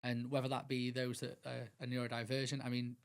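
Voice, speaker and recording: A middle-aged man talks as a podcast guest, earnest and rambling, often restarting his sentences. His voice has a gravelly fry to it. He keeps his pitch fairly level throughout. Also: monotone, fairly quick. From England. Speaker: guest, mental health speaker. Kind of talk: casual podcast interview.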